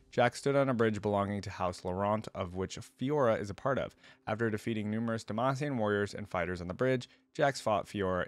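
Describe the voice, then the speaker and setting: A man's voice: on the low side, no room sound. A man hosting a reaction video, reading lore aloud and thinking through it in casual commentary with rhetorical check-ins. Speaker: reaction channel host.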